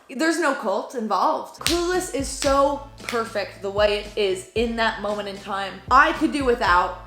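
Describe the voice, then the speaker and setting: A young woman talks straight to the camera in a chatty, animated way, with playful sarcasm running through it. Her voice sits a little high and sounds bright and forceful. It is recorded in an echoey room with faint music underneath. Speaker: vlogger. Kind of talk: casual opinion vlog, ranking shows.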